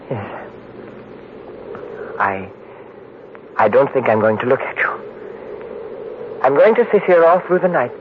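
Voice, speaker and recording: A guilt-ridden man losing his nerve in an old radio play. He speaks slowly, in broken, repeated fragments, as if muttering to himself. The recording is dull and has some hiss. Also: mid-range voice. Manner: halting, repetitive, broken phrases, muttering to himself. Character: haunted, guilt-ridden man, a wife-murderer trapped in a moonlit canyon. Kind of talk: 1940s radio drama acting, halting monologue.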